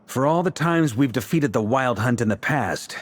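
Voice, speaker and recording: A man playing a confident, laid-back military leader in a video game, speaking scripted lines in a measured, reassuring and deliberate way. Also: medium pitch, lively intonation, close to an everyday voice.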